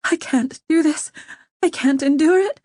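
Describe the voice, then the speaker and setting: A frightened noblewoman pleading in trembling whispers, put on by a woman narrating an audiobook. The voice rides high and strained. The recording is dry and close. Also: moderate pace, dark tone, husky, no room sound. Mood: desperate. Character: frightened, desperate noblewoman, grief-stricken and pleading. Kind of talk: audiobook reading.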